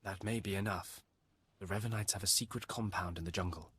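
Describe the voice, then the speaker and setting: A formal, scheming agent of dark lords in a space fantasy game. The voice is deep and husky, deferential yet calculating. It is recorded very dry, with each phrase measured. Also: male voice, steady tone, no echo. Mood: instructing. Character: formal, scheming male Sith agent serving a master. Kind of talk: video game voice acting.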